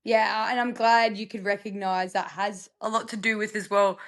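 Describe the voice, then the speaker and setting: A woman's voice: mid-range voice, level tone, moderate pace. A young Australian woman from rural South Australia, chatting casually as a podcast guest in a candid, self-deprecating way. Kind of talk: casual personal interview chat.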